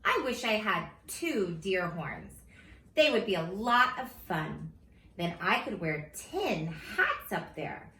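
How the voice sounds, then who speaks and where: A woman reading a children's storybook aloud in a silly, theatrical, expressive way, like a teacher at story time. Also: on the low side, takes it slowly. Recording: roomy echo with faint background noise.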